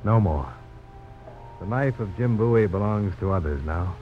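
An actor playing a weary, resolute frontier adventurer in a grave, measured 1950s radio drama style. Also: male voice, sits low, lively intonation, faint music behind. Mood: tender. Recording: muffled, noisy old radio broadcast recording.

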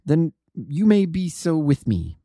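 A man reading the part of an anxious, refined young gentleman in his early twenties, earnest and polite, in an audiobook. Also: on the high side, wildly swooping pitch, slow pace, breathy edge, no room sound, studio quality, pitched above the performer's own voice, heavily acted. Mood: earnest, pleading. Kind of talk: audiobook character dialogue.

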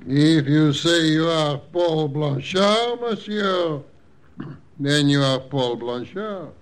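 An actor in a 1940s radio drama playing an old French hotel clerk with a French accent, courteous and knowing. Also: male voice, fairly high pitch, expressive, takes it slowly. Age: older.

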